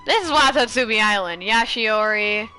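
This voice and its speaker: A woman's voice: on the high side, animated, normal speed, pushed and bright, clean tone, poor audio. A woman streamer giving casual, playful and self-mocking commentary as she plays a video game. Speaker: gaming streamer. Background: game music.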